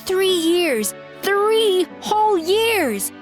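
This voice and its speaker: A woman voicing a proud, exacting young master chef in an anime video game, brisk, blunt and emphatic. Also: very high voice, big pitch swings, full character voice. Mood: exasperated. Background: background music.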